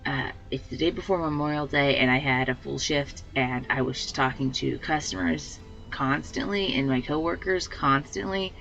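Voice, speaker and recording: Slightly hoarse woman rambling commentary. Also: rumbling low, normal speed, hardly any pauses, a bit bright, dull audio, faint music behind. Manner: rambling, thinking aloud with fillers. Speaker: let's play host. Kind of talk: casual gameplay commentary.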